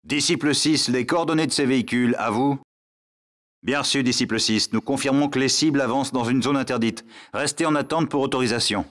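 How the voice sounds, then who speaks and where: Stern mid-pitched military command operator. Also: male voice, a bit bright. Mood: stern, businesslike. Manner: formal, measured, authoritative. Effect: radio. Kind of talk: scripted military radio chatter.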